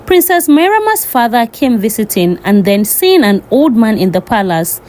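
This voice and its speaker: A woman's voice: on the high side, big pitch swings, moderate pace, a little mellow. A woman storyteller reading a scripted folktale narration.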